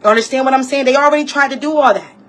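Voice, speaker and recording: A woman's voice: sits high, racing, fairly bright tone. A woman giving a heated, profane personal rant as a vlogger, emphatic and repeating herself as she tells her story. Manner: emphatic and repetitive, acting out others' remarks.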